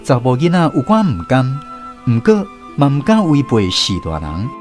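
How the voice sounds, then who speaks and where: A man's voice: mid-range voice, wildly swooping pitch, moderate pace, faint music behind. A man with a Taiwanese accent narrating a scripted first-person story for a radio drama.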